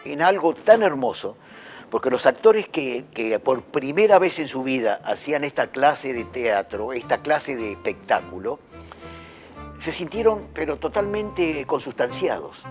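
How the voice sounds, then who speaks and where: Man, lively, expressive pitch, faint music behind. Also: unhurried. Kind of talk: interview.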